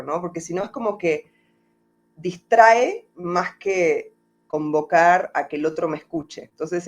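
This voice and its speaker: A woman with an Argentine Spanish accent, gently worn down by life in Mexico, speaks as a music therapist in an interview. She answers in a reflective way, pausing to find her words and closing her thoughts with little questioning tags. She is talking over a video call. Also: lowish voice. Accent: Argentine Spanish, softened toward Mexican. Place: home in Mexico.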